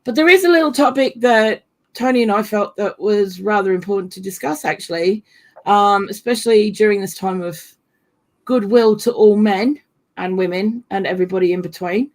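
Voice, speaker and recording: A hoarse woman hosts a casual live-stream chat over a video call, talking chattily with frequent laughs. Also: medium pitch, normal speed. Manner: chatty, laughs often, fillers like "you know". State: losing her voice, hoarse. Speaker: host.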